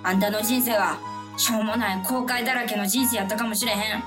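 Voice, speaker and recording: A woman's voice: a little high, steady tone, fairly quick, close to an everyday voice. A woman playing a determined, big-hearted female taxi driver with a Kyoto accent, emphatic and theatrical in a comic radio drama. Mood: rousing resolve. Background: background music.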